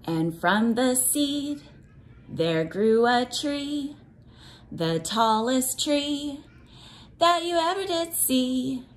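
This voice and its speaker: A woman reading a picture book to young children and explaining it in simple words, with a sing-song, measured cadence. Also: sits high, lively intonation. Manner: simple, instructive phrasing aimed at young children. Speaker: read-aloud teacher for young children.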